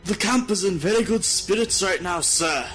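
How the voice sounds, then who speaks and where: A man voicing a methodical, respectful male subordinate reporting to his leader in a measured, formal way. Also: high voice, fairly bright tone, faint music behind, pitched above the performer's own voice.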